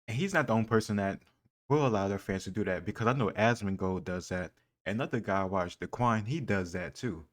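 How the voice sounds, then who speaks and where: A man's voice: lowish voice, a little fast. A man hosting a reaction channel, talking back bluntly and profanely at a clip. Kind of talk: casual reaction commentary.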